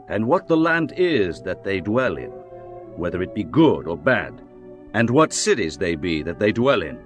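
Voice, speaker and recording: Biblical leader played by a man: authoritative, formal and declamatory, wide-ranging intonation, audio drama with faint music. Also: lowish voice. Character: Moses, commanding male leader instructing his spies. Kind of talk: dramatized scripture reading.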